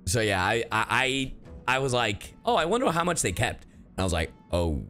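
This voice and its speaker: A man's voice: mid-range voice, very animated, moderate pace, a bit bright, soft background music. A man streaming casually to his chat, slangy and emphatic, repeating himself to drive points home. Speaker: streamer and voice actor. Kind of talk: casual livestream reaction chat.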